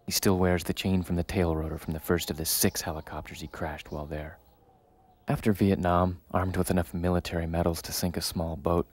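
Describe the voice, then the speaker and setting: A man reading scripted documentary narration in a measured, storytelling way. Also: sits low, creaky, darkish tone, husky. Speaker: voice-over narrator. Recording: music bed under the voice. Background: music.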